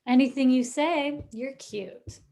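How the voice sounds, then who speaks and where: A woman's voice: sits high, takes it slowly, creaky, mellow, heavily acted. A woman playing a coy, calculating, murderous young bride in a vintage-style radio play. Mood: coy, guarded. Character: coy, greedy, murderous young bride, sly femme fatale.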